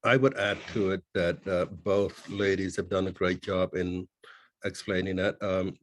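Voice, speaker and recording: A man speaking on a panel discussion in a measured, somewhat hesitant way. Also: low voice, steady tone, a little hiss or hum. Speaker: panelist, community leader.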